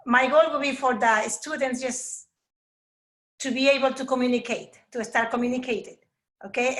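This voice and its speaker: A woman from Peru with a Peruvian Spanish accent, a native Spanish speaker, introducing herself as a Spanish teacher on a video call and searching for words now and then. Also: fairly high pitch. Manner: warm and eager, searching for words. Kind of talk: school open house presentation.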